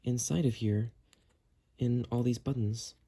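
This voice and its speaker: Soft-toned man narrating a hands-on tutorial. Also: medium pitch, steady tone, measured pace, husky. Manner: explanatory, talking while working with hands. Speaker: host of a how-to cleaning video.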